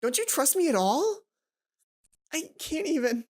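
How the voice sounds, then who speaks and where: A woman's voice: high voice, very animated, measured pace, a little mellow, voice pushed up. A woman voicing an indignant, wounded teenage girl, the best friend in a visual novel game. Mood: hurt indignation.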